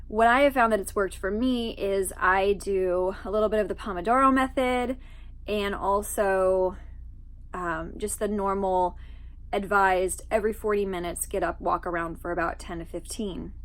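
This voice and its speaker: A young woman hosting her own channel, talking casually to camera in a stuffy voice from allergies, with frequent ums and asides. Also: medium pitch. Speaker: author and channel host.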